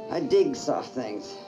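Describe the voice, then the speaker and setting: A woman's voice: extremely low pitch, full character voice, poor audio. An actress playing a brash woman who talks in slang and coaxes a frightened friend. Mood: flirty. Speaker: film character. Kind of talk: film drama acting.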